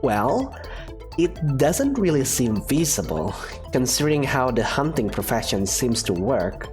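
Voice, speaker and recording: A man's voice: medium pitch, big pitch swings, a little slow, faint music behind. A man narrating a fan visual novel as its student hero, hesitant and thoughtful. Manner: hesitant, thoughtful, soft-spoken. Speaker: narrator and player character.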